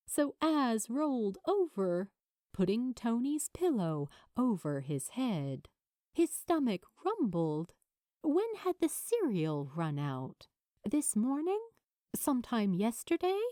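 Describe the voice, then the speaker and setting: A woman reading a story aloud as an expressive audiobook narrator, with dramatic, weighted pauses. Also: mid-range voice, no echo, studio quality. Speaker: fan fiction narrator.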